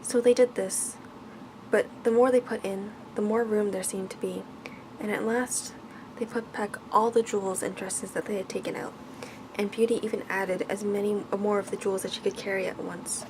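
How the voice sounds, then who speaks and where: Female ASMR storyteller, hushed scripted bedtime reading, creaky edge, clear background hiss or noise. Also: medium pitch, steady tone, moderate pace.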